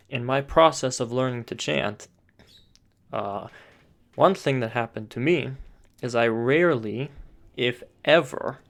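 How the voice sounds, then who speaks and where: A man at an ordinary middle pitch gives a spoken chant lesson. He explains patiently and plainly, leaning on "sort of" and brief pauses as he works through each point. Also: gravelly. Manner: calm explanatory, frequent "sort of" and "uh" fillers. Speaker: chant teacher.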